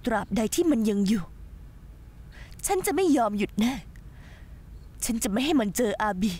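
A woman's voice: on the high side, very animated, normal speed, dark tone, husky. A vengeful jealous young woman. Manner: menacing, gloating. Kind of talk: dubbed TV drama.

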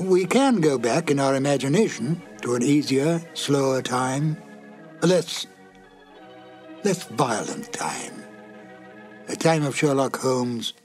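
A man giving reflective scripted narration in a wistful, measured manner. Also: a little high, a little mellow.

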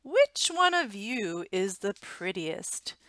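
A woman voicing a gentle, very old man from a picture book, wondering and emphatic. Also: mid-range voice, big pitch swings, slow, dry recording, full character voice. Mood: puzzled, eager.

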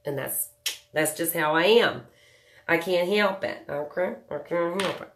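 A woman's voice: on the low side, unhurried. A woman in her thirties with a nasal voice from a cold, chatting casually with viewers while doing her makeup at home. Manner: chatty, joking, greets viewers by name. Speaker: makeup livestream host. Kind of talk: casual live makeup tutorial chat. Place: small home room indoors.

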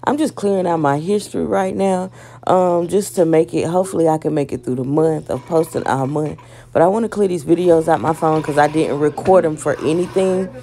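A woman casually talking viewers through cooking in her home kitchen, in a relaxed, chatty way. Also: sits low, fairly quick, slight background noise. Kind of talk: casual cooking vlog, voice-over narration.

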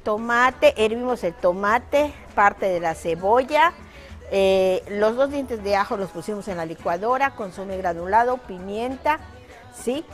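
A woman's voice: medium pitch, animated, measured pace, faint music behind. A middle-aged woman hosts a live TV cooking segment in a studio kitchen, chatty and affectionate with viewers, full of exclamations. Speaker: TV cooking show host.

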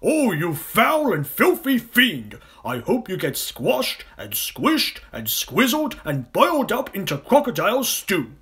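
Big scolding male elephant for a storybook man reading, raised pitch, blustery, loud and very animated. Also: takes it slowly, hardly any pauses, heavily acted. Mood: outraged.

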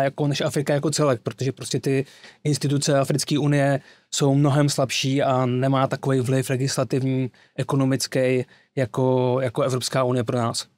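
A man's voice: medium pitch, a little mellow, dry recording. A man speaking as an expert guest in a studio panel discussion, fluent but full of fillers. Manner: fluent, with frequent filler words. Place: small studio with wooden table. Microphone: desk mic on boom arm.

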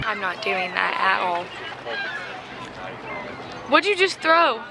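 A woman's voice: medium pitch, big pitch swings, slow, creaky, a crowd in the background, background noise. A teenage girl vlogging in a casual, upbeat way, talking to a camera held at arm's length in a car and outdoors. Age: teens. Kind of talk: casual vlog chat. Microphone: handheld camera at arm's length.

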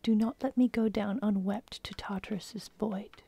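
A woman voicing a dream god who takes the shape of a drowned husband's ghost, in a soft, slow unvoiced whisper. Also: medium pitch, fairly even intonation, vocal fry, breathy edge, dry recording. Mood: mournful pleading. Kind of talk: whispered storytelling.

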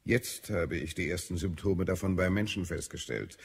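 Deep, gravelly, edgy health adviser. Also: male voice, moderate pace. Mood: grave warning. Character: irritable, weary male Martian health adviser, grimly concerned. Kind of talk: German audio drama acting.

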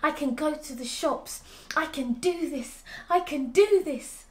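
Woman putting on a high-voiced shy little pig. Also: measured pace. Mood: determined. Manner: hesitant, repeats self-encouragement. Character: shy, anxious young girl piggy psyching herself up.

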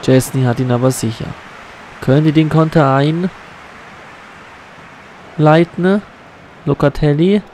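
Slow-talking man with a stuffy nose. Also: a little high, a little mellow, a little hiss or hum. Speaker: gaming YouTuber. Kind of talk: game commentary. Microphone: USB condenser mic.

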